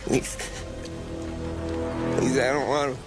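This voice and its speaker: An actor playing a terrified street thug begging for his life in a creaky, halting, pleading voice. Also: mid-range voice, flat delivery, music in the background, close to an everyday voice. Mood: pleading terror. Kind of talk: cinematic game acting.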